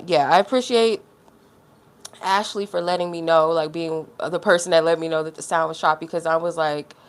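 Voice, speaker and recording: Stuffy-nosed woman on a tarot livestream. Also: lowish voice. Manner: rambling, heavy use of "like" fillers. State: stuffy nose; feeling unwell. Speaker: tarot reader. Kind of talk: casual livestream chat.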